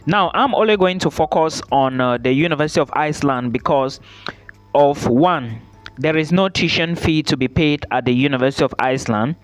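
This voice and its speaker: A man speaks straight to his viewers in an advice vlog, his voice sitting a little high. He talks with insistence, repeats the points he wants to stick, and keeps checking in with a quick "okay?". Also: clear, resonant tone. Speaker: study-abroad YouTuber. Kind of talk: direct-to-camera advice vlog.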